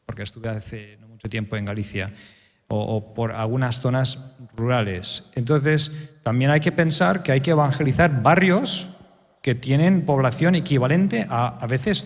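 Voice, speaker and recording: A man serving as a church elder speaks on a conference panel, his voice at an ordinary middle pitch. He hesitates often and lays out his points one by one. The hall around him adds a long echo. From Spain. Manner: thoughtful, many hesitations, building points step by step. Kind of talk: panel discussion answer. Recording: reverberant hall.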